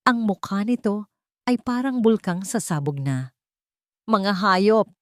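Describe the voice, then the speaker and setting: A woman's voice: medium pitch, animated, unhurried, darkish tone, no echo, studio quality. A woman narrator reading a scripted dramatic story.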